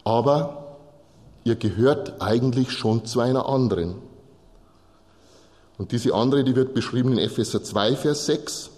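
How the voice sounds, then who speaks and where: A man's voice: mid-range voice, a little slow, pauses often, a little mellow. A man preaching, explaining scripture in short, repeated phrases and addressing listeners directly. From Bavaria, Germany. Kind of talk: sermon, teaching from Bible texts to a congregation. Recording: long hall reverb.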